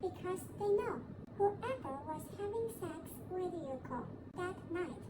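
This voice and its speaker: A woman's voice: very high-pitched, animated, unhurried, voice pushed up. A woman voicing a knowing, matter-of-fact young female accomplice in acted story dialogue. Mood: knowing, explaining. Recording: clearly noisy background.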